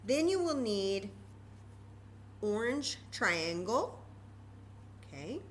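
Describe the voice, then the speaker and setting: A woman's voice: mid-range voice, big pitch swings, very slow, a little hiss or hum. A woman presenting a children's story time craft, explaining each step informally and patiently and repeating key words.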